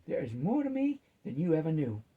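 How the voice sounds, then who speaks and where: Man voicing a husky, firm old grandmother. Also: fairly high pitch, huge pitch swings, phone-quality sound, exaggerated voice. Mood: mock anger. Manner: emphatic, firm, drawn-out delivery. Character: firm, loving old grandmother, wise and teasing.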